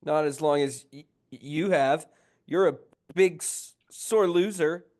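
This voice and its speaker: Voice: mid-range voice, takes it slowly, a little mellow, natural voice. An actor voicing a cheeky ten-year-old boy who stammers, in a script table read. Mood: cheeky, earnest.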